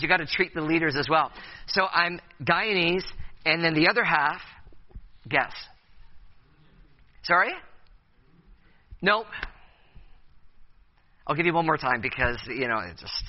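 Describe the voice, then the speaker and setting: A man's voice: on the high side, measured pace, pauses often, highs cut off. Man with fillers, talking to youth leaders. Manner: hesitant, many uh fillers and restarts, playful with audience. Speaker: youth pastor speaking at a conference session. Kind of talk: conference talk to youth leaders.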